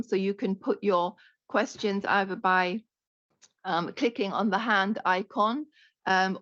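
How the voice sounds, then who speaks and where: A woman moderates a policy panel in a mid-range voice, polite and halting with frequent fillers. The audio is dry and a little dull, with no room echo. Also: mid-range voice. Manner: polite and halting, with many ums and uhs.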